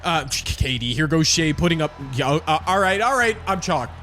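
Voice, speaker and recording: A man giving casual, excitable play-by-play commentary on a simulated basketball game, with slangy talk and a voice that is starting to go hoarse. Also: moderate pace, a little hiss or hum. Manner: energetic, casual, slangy, pitch jumps with excitement. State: losing his voice. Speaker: gaming YouTuber.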